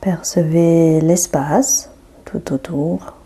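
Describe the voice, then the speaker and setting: A woman guiding a yoga nidra meditation with a soft, breathy voice, giving calm, gentle instructions with long pauses. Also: deep voice, a little hiss or hum. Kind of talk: guided relaxation meditation.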